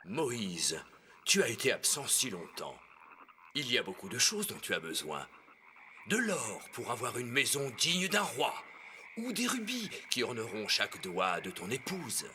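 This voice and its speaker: A man's voice: mid-range voice, huge pitch swings, measured pace, sharp tone, slight background noise, heavily acted. A haughty, swooping tyrant king. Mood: smug, taunting. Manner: haughty, theatrical delivery. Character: proud, tyrannical king of Egypt, stubborn and scornful. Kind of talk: animated film dubbing.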